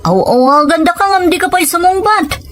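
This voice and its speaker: A woman's voice: extremely high pitch, lively intonation, fairly quick, a bit bright, faint music behind. A woman acting a young, blunt, nagging friend in an audio drama, with a Filipino accent. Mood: exasperated. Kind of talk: Ilocano audio drama dialogue.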